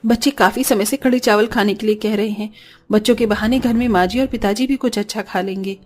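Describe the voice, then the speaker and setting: A woman voicing a poor, worried, gentle mother in a cartoon story, earnest and plaintive. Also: medium pitch, fairly even intonation, fairly quick, close to an everyday voice.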